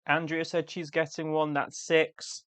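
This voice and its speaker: A woman's voice: low voice, little pitch movement, measured pace, a bit bright, clear, resonant tone, no echo. A woman co-hosting an art livestream, chiming in with brief, dry remarks. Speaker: livestream co-host. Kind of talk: casual live chat.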